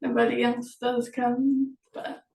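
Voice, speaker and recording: A woman chatting casually as host of an online book promotion, hesitant and halting, often trailing off. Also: on the high side, level tone, creaky, darkish tone. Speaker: author hosting a promotional chat. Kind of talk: casual video chat. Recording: echoey room, distant from mic.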